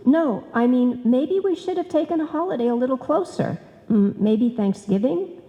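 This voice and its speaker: A woman acts a worried cousin in an old-time radio comedy. Her mellow voice rises and falls freely as she voices doubts hesitantly, in a reverberant hall. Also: sits high, animated, normal speed, dull audio. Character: doubtful, fretful female cousin and business partner. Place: on stage in a live performance. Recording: live hall recording, long reverb.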